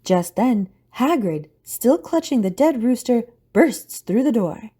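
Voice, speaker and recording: A female narrator with a mellow, husky voice that sits a little high. She reads scripted scene descriptions for an audio drama. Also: expressive, slow pace.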